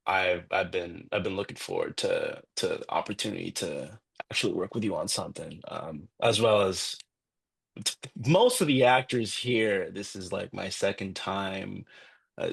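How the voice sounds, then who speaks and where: Very deep, gravelly man, halting and earnest. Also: fairly bright tone. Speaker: actor from a script read. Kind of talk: casual spoken remarks.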